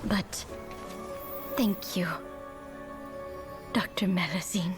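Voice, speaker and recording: A kind old lady speaks slowly and tenderly, full of gratitude. Her even lines come over soft game music in a cutscene. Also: on the low side. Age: older. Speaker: game character. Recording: game audio with music behind.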